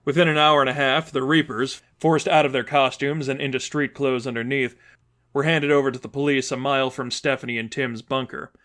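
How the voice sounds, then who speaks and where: A man's voice: mid-range voice, flat delivery, fairly quick, fairly bright tone. A man reading as narrator, delivering scripted fiction in a storytelling style.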